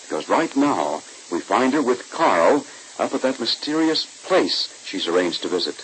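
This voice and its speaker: A man's voice: lowish voice, big pitch swings, moderate pace, fairly bright tone, slight background noise, poor audio. A man narrating an old radio serial as its announcer, in scripted 1940s style. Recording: old-time radio recording.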